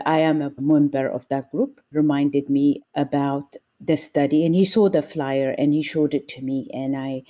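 A woman from Ethiopia, a nurse and family advocate, speaking in a measured, deliberate way as an interview guest. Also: low-pitched, dark tone.

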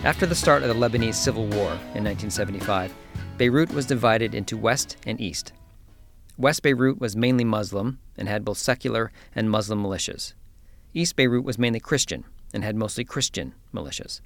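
A man hosting a science podcast, telling a story in a measured, scripted way before interviewing his guest. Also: on the low side, vocal fry, soft background music. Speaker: podcast host. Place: office.

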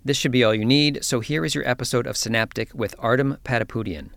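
A man hosting a science podcast, telling a story in a measured, scripted way before interviewing his guest. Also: mid-range voice, gravelly, fairly bright tone. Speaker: podcast host. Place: office.

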